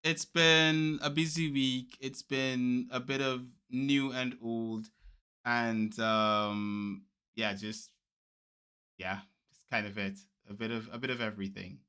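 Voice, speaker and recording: Bright-voiced Trinidadian man chatting. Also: mid-range voice, fairly even intonation, slow, clear, resonant tone. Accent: Trinidadian, softened. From Trinidad and Tobago. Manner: easygoing storyteller, many restarts and fillers. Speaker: podcast co-host. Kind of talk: casual chat.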